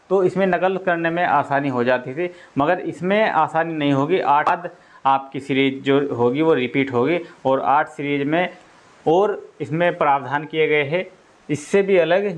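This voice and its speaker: A man explaining exam news informally as a coach, repeating his points and often checking that listeners follow. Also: fairly high pitch.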